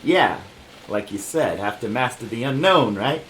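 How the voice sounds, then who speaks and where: A man's voice: mid-range voice, animated, measured pace, a bit bright, slight background noise. A man playing a wary, down-on-his-luck jazz musician in an audio drama, terse and puzzled. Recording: roomy echo. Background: music under the voice.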